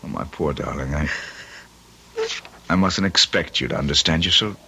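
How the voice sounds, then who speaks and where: An actor playing an eccentric, self-assured husband in an old-time radio drama, intense and condescending, with halting, rhapsodic delivery. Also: male voice, rumbling low, sharp tone, slight background noise, exaggerated voice.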